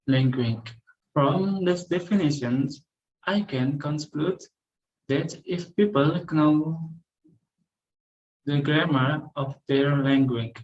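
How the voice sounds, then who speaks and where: A young man, a student reading a class presentation carefully, pausing and correcting himself. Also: fairly high pitch, highs cut off, slight background noise.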